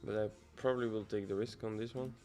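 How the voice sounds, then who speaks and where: Young man, lowish voice, relaxed livestream host musing to himself over faint music. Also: fast talker. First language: Dutch. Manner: casual, loose, musing half to himself. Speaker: trading livestreamer. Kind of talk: live-stream commentary, thinking aloud.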